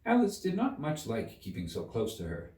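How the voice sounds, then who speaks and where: A man reading a story aloud as an audiobook narrator, in an expressive scripted storytelling style. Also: mid-range voice, normal speed. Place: room inside a museum. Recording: roomy, echoey, distant from the mic.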